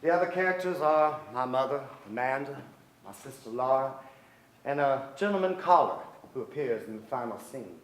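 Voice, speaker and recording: An actor playing a wry, poetic man narrating his family's story in a theatrical stage monologue with deliberate pauses. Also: mid-range voice, lively intonation, natural voice. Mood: wry, wistful. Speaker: actor in a staged play reading. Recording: echoey theater stage, noticeable noise.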